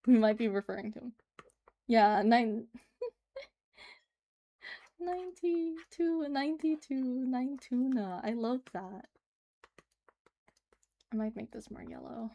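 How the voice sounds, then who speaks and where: A woman's voice: fairly high pitch, lively intonation, takes it slowly, pauses often, mellow. A woman livestreaming as she draws, chatting casually and giggling as she answers her chat. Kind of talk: casual chat while drawing on stream.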